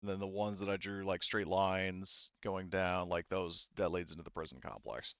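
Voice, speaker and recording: A man narrating a tabletop roleplaying game as game master, in a casual, profane way, halting as he thinks aloud. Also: sits low, steady tone, fairly bright tone, clean tone, no echo, dull audio.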